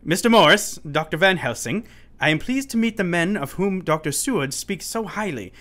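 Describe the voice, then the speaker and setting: An actor playing an unsettled, pleading asylum inmate in a radio play, speaking formally and urgently. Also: male voice, high voice, lively intonation, normal speed, bright, forceful tone. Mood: courtly, sly. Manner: formal, urgent, given to sudden chuckles.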